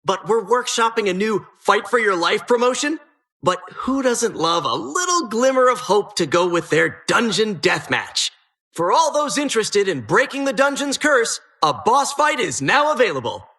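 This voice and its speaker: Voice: mid-range voice, very animated, normal speed, sharp tone. A performer voicing a sardonic, menacing dungeon host in a video game, with dramatic mock-cheerful patter. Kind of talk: video game character voice lines.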